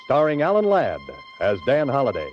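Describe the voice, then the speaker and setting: A man's voice: medium pitch, wildly swooping pitch, normal speed. A man announcing a radio drama in formal, declamatory 1940s style. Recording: muffled vintage radio recording with loud music.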